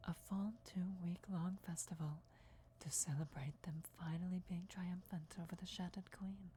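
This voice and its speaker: A woman's voice: lowish voice, steady tone, slight background noise, close to an everyday voice. Woman playing a whispering, deferential vampire emissary. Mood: hushed, deferential. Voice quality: breathy whisper. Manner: hushed, formal, measured, reverent. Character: deferential female vampire emissary briefing her lord before war. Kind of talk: whispered ASMR fantasy roleplay.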